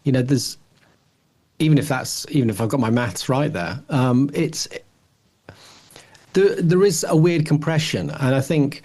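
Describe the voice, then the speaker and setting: A man's voice: mid-range voice, a little slow, long pauses, a little mellow. A man in his forties co-hosting a podcast, casually rambling through his opinions and thinking aloud with frequent false starts. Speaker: podcast co-host.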